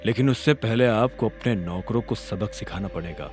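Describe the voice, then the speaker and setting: A commanding male boss played by a man in an audio drama. He speaks low and measured, with calm authority and quiet menace, over soft music. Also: natural voice.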